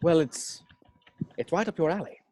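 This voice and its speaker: A man's voice: on the high side, expressive, moderate pace, a little mellow, dry recording. A man playing a charming, brash seventy-year-old tycoon in a script table read, with a jovial, showy delivery. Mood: persuasive.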